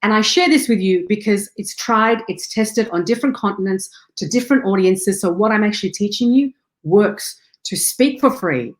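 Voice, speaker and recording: A woman's voice: mid-range voice, expressive, moderate pace, reverberant. A young adult woman from Afghanistan, now working in Australia, giving an online training on speaking and sales with punchy, motivational energy. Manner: upbeat, punchy motivational delivery with rallying catchphrases.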